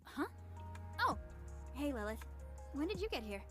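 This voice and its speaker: A young female weapon crafter speaks with lively, swinging intonation. She is absent-minded yet proud, a video game character with music clearly behind her. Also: high voice, unhurried, heavily acted. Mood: distracted. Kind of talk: English video game voice acting.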